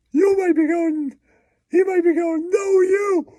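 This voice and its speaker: A man voicing a giggly, foul-mouthed cartoon mouse puppet in squeaky falsetto, teasing and pitching in a comic puppet show. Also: warm tone, dry recording, full character voice. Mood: taunting, giggly. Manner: giggly, repeats taunting catchphrases.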